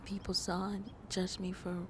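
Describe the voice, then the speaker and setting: A woman playing a shy, lonely vampire in an intimate ASMR roleplay, speaking softly and hesitantly, often half whispering. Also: mid-range voice, monotone, background noise, lightly acted. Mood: wistful, vulnerable. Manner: slow, hesitant, halting, soft and breathy. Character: shy, lonely female vampire on a tender first date.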